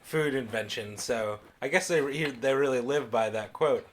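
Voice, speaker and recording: A man's voice: medium pitch, normal speed. A man co-hosting a comedy podcast, joking in casual banter with a dumb, froggy voice and frequent laughter. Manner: self-described dumb froggy voice, laughs often. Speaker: podcast co-host.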